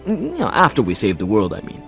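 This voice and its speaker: An actor with an American accent playing an earnest, self-conscious young hero in a video game, casual and hesitant. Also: male voice, fairly low pitch, wildly swooping pitch, quick pace, gravelly, phone-quality sound, heavily acted. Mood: starstruck. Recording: thin band-limited audio, faint music.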